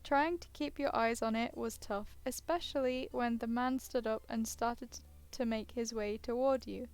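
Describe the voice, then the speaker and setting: A woman's voice: on the high side, fairly even intonation, normal speed, a little mellow, smooth, soft background music. A woman gaming streamer reading a visual novel aloud, casually breaking off into hesitant asides and sounding tired. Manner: hesitant, self-interrupting asides. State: tired, yawning.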